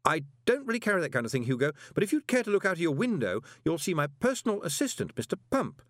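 Man performing a courteous young swindler turned postmaster, glib, confident, raised pitch, swooping intonation, storybook hero. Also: big pitch swings, fast talker, no echo, studio quality. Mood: smooth, cajoling. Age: young adult. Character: smooth, polite young conman postmaster, confident and cajoling.

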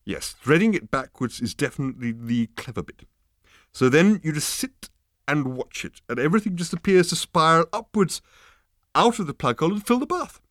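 A man's voice: fairly high pitch, very animated, normal speed, a bit bright, no room sound, clean studio sound, voice pushed up. A man voicing a drunken, blithely enthusiastic character in an audiobook, rambling with emphatic repetition.

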